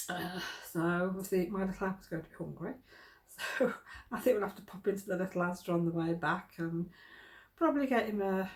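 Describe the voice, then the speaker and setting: Woman with a head cold. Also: fairly low pitch. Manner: chatty, homely, plain-spoken. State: congested with a cold. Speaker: vlogger, craft shop owner. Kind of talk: casual chat to camera. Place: home kitchen, indoors.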